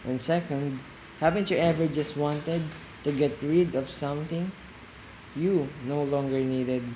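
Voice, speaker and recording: Male amateur as calm, lecturing investor in radio play interview, repeats points for emphasis, faint noise behind. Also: a little high, unhurried, close to an everyday voice, rough recording. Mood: firm, explaining. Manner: didactic, repeats phrases for emphasis. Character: calm, didactic male real estate investor being interviewed.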